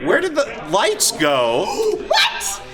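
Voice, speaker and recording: High-pitched man running a live tabletop game. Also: huge pitch swings, very slow. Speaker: game master. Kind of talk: improvised narration and rulings in a live tabletop game. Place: large convention hall. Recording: live convention hall, loud audience chatter. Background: crowd noise.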